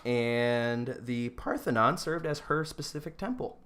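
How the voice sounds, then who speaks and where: A man's voice: mid-range voice, unhurried, fairly bright tone, clean tone. A man co-hosting a podcast, giving a casual, joking rundown in an informal, giggly way. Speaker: podcast co-host presenting a rundown. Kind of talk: casual comedic presentation with banter.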